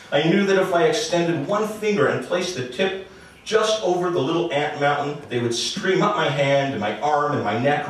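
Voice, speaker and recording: A man giving a live author's reading of first-person prose in a measured, dry storytelling manner. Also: a little high. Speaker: author reading at a literary event. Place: indoor literary party, before an audience. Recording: echoey room, distant mic, faint noise.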